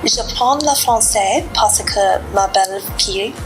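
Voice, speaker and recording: A middle-aged woman, a beginner French student reading her answers slowly and carefully. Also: medium pitch. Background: background music.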